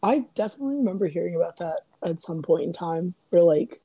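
Young female co-host, voice worn raspy and husky, low and dark, close dry mic, laughs easily. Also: quick pace, no room sound. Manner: laughs often, relaxed and chatty. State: hoarse, voice partly lost. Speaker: podcast co-host. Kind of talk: casual faith podcast chat. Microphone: home podcast mic.

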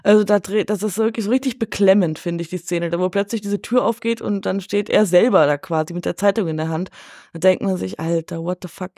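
A woman's voice: mid-range voice, steady tone, a little fast, dark tone, no echo, clean studio sound. A woman chatting casually about films in an emphatic, colloquial way full of slang. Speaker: podcast guest. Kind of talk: casual film discussion.

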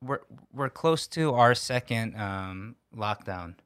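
A man's voice: medium pitch, expressive, slow, fairly bright tone, clear, resonant tone, no room sound, clean studio sound. A man hosting a podcast in casual conversation. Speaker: podcast host. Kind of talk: casual chat.

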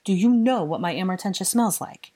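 A woman voicing a lovesick, exasperated young man in dramatic audiobook dialogue, impassioned and sardonic, with halting phrasing. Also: lowish voice, animated, a little mellow, breathy edge. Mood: outraged, lovesick.

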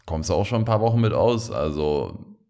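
Sehr tiefer Mann, locker plaudernd. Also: male voice, sits low, sing-song, normal speed, gravelly, mellow. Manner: chatty, joking, many fillers. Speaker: podcast co-host. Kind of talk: casual chat.

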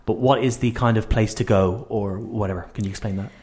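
A man's voice: on the low side, fairly even intonation, a little fast, a little mellow. A man hosting a casual radio interview, speaking in an informal and encouraging way.

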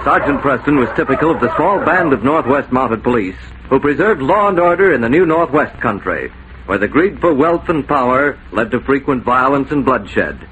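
A man's voice: medium pitch, lively intonation, moderate pace. A man narrating as a 1940s radio announcer, dramatic and declamatory. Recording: noisy 1940s radio recording.

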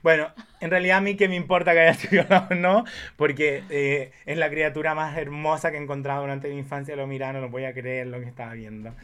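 A man's voice: a little high, flat delivery, fast, hardly any pauses, fairly bright tone, clear, resonant tone. Playful, campy Chilean man chatting. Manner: playful, campy, drops English phrases. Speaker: podcast co-host. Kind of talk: casual, confessional chat about films.

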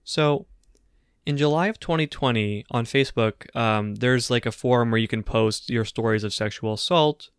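A man's voice: medium pitch, moderate pace, clear, resonant tone, dry recording, studio quality. A man co-hosting a casual political podcast, talking in a conversational, hedging way with filler phrases. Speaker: podcast co-host.

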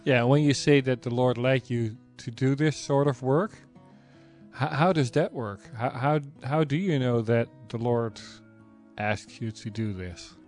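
A man hosting a podcast interview, asking relaxed follow-up questions with occasional stumbles. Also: mid-range voice, a little slow, soft background music. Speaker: podcast host. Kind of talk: conversational interview.

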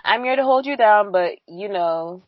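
Female podcast co-host, mid-pitched, playful and teasing, dry muffled sound. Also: lively intonation, no echo. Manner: laughing, teasing, quick asides. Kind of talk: casual podcast chat.

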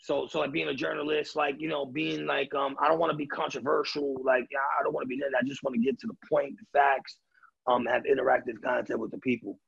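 A man with a raspy voice talking casually in an interview, loose and streetwise, full of fillers and repeated starts. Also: medium pitch, level tone, fast, fairly bright tone, no echo, dull audio. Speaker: rapper and DJ interviewee.

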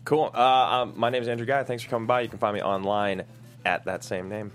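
A man's voice: lowish voice, steady tone, a little fast, a bit bright, faint music behind. A man chatting casually as a panelist, in an informal and appreciative manner. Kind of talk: casual chat.

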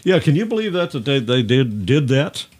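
A man's voice: mid-range voice, big pitch swings, moderate pace, a little mellow, breathy edge. A man co-hosting a podcast, bantering casually and jokily as he runs a music quiz. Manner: jokey, teasing.

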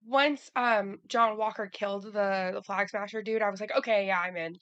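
A woman co-hosting a casual podcast chat, hoarse from a cold, talking in a chatty way with lots of restarts. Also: mid-range voice, steady tone, normal speed, a bit bright, dry recording, highs cut off. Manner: chatty, many fillers and restarts. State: hoarse from a cold, losing her voice. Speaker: podcast co-host.

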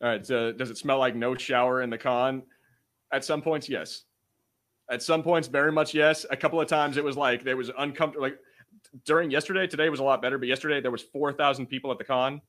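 A man co-hosting a podcast, telling stories in a casual, animated, self-deprecating way with some swearing. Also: medium pitch, quick pace. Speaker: podcast co-host. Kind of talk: casual banter, storytelling.

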